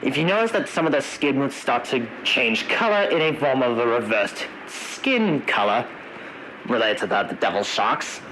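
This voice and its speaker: A man narrating an analog horror emergency briefing in a halting, wordy way, searching for his words. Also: medium pitch, big pitch swings, pushed and bright, a little hiss or hum, low-quality recording.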